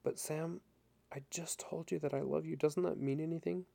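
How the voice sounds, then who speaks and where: A man's voice: mid-range voice, fairly even intonation, brisk, creaky, dark tone, natural voice. A man voicing a tearful, pleading woman hopelessly in love, in a small, childlike manner, for an audiobook story. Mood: pleading, distressed. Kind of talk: dramatic audiobook character reading.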